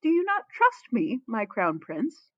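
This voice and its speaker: A woman's voice: fairly high pitch, huge pitch swings, a little slow, no room sound, dull audio, full character voice. A woman voicing a fussy, sarcastic but devoted young male palace tutor in a story, theatrical and formally polite. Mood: stunned, probing.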